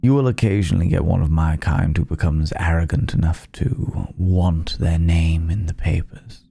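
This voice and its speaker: A man playing a suave, ancient vampire club owner in an intimate ASMR roleplay, speaking in a genteel, quietly menacing way and savouring his pauses. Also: deep voice, fairly even intonation, creaky, slightly rough, heavily acted. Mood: smug, confiding. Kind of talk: ASMR roleplay, intimate second-person acting.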